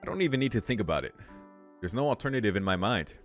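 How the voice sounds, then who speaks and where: A man's voice: on the low side, lively intonation, fast talker. A man reading a visual novel aloud as a streamer, with amused, slangy and sweary casual asides. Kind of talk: casual read-aloud with commentary. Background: faint game music.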